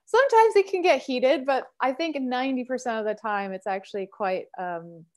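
A woman in her thirties from Canada, a singer and sax player, chatting as a guest on a video call from home in an expressive, storytelling way. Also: sits high, normal speed. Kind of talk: casual interview chat. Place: home room, indoors.

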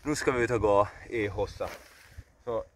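A man's voice: on the low side, a little hiss or hum. A young man, chatting casually outdoors. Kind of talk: casual chat.

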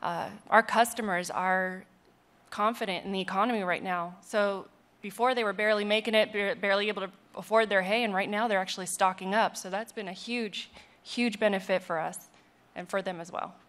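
A woman's voice: medium pitch, fairly even intonation, a little fast, a bit bright. A woman from Las Vegas, a business co-owner, talking in a friendly, chatty way at a panel table in a large hall. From Las Vegas, Nevada. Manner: friendly, chatty, laughs lightly. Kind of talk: personal remarks at a roundtable.

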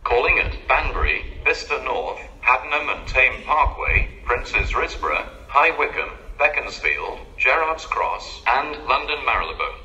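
A man's voice: mid-range voice, big pitch swings, a little slow, few pauses, pushed and bright, highs cut off. A man announcing trains in formal, segmented pre-recorded phrases over a public address speaker. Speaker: station announcer. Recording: distant, echoing station PA recording.

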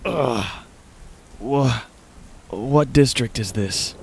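A man playing a wounded, dazed mage in audio fiction, halting and groggy, with a strained, creaky voice. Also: mid-range voice, a little hiss or hum. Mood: groggy confusion. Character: wounded, dazed male mage lost in the rain. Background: rain and thunder.

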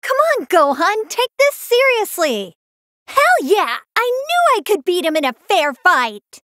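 A woman's voice: very high-pitched, sing-song, measured pace, sharp tone, dry recording, studio quality, exaggerated voice. An American actress voicing a feisty, confident teenage girl martial artist with brash, emphatic delivery for an anime fighting game. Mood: scolding, upbeat.

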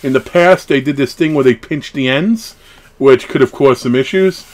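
A man's voice: mid-range voice, moderate pace. A man in his thirties chatting casually through an unboxing, rambling and breaking off into asides as a hobby vlogger. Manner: rambling, self-interrupting asides. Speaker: horror collectibles vlogger. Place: small wood-panelled room at home, indoors.

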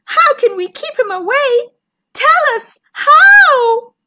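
A woman's voice: extremely high pitch, big pitch swings, takes it slowly, heavy background noise, voice pushed up, full character voice. A woman voicing terrified, fussing small fish in a children's storybook, shrilly crying out. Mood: panicked, pleading.

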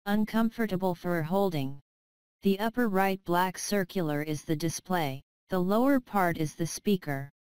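A woman's voice: on the low side, darkish tone, clear, resonant tone, no echo, poor audio. A woman reading a scripted product narration, with careful, non-native English phrasing. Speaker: product presenter.

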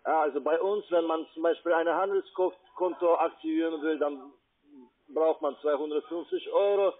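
A non-native German speaker, a man with a foreign accent, making a halting, repetitive sales pitch. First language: not German. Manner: halting, repetitive, tag-question fillers. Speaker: call-centre caller pitching investments. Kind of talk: sales pitch on a phone call. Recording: tinny narrowband phone line.

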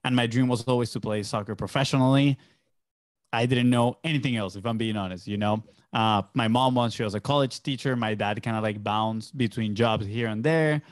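A young man from Venezuela whose first language is Spanish chats casually on a podcast. His pitch sits in the middle for a man. He is close on the microphone, and the sound is dry, with hardly any room on it. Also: fairly quick, no room sound. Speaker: podcast guest, agency entrepreneur. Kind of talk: casual conversational storytelling. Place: small home studio indoors. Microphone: close boom-arm podcast microphone with headphones.

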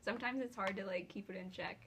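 An eighteen-year-old woman from upstate New York answers casually with easy fillers. Clear background noise and clicks run under her voice. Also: medium pitch, fast. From Ithaca, New York. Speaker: interviewee.

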